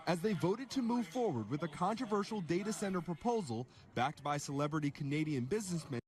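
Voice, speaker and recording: Animated higher-pitched male news reporter. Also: brisk. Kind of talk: scripted news report narration.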